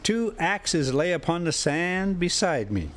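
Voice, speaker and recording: Slow, dramatic male story reader. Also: fairly high pitch, big pitch swings. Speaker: host reading a classic story aloud while driving. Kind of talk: dramatic audiobook-style narration of an adventure story. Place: inside a moving motorhome.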